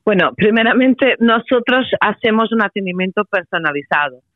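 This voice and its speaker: A woman with a Portuguese accent, a company representative making a persuasive sales pitch by phone on the radio, hesitating often with "eh". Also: expressive, normal speed, no room sound. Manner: persuasive, many hesitations and "eh" fillers. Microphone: telephone. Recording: tinny phone line, dry.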